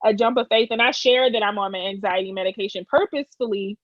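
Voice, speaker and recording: A woman's voice: mid-range voice, fast, dry recording, highs cut off. A woman with a Detroit accent, co-hosting a podcast, talking candidly and reflectively in casual conversation. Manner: candid, reflective, many fillers. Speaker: podcast co-host.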